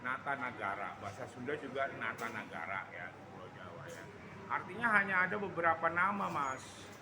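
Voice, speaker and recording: A man's voice: medium pitch, big pitch swings, a little fast. A man from Java, explaining his views conversationally as an interview guest, often checking that the listener follows. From Java, Indonesia. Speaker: interview guest giving political opinion. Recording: roomy, echoey sound with background noise.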